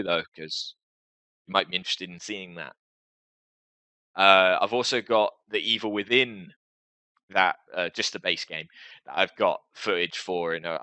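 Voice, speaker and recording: A man's voice: low-pitched, bright, forceful tone, dry recording. A man talking casually and hesitantly to his viewers as a gaming video host, with a self-deprecating, rambling manner.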